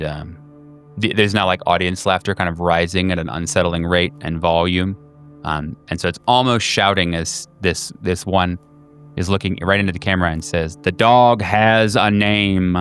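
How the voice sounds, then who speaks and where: A man with a low voice narrates a horror tabletop game as its game master. He feels his way through the scene with drawn-out pauses. Faint music plays underneath. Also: a bit bright.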